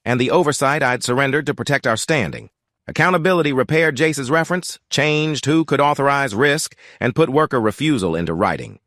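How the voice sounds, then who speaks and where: Sober man with lively intonation. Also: medium pitch, dry recording, studio quality. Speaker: narrator. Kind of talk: scripted first-person narration.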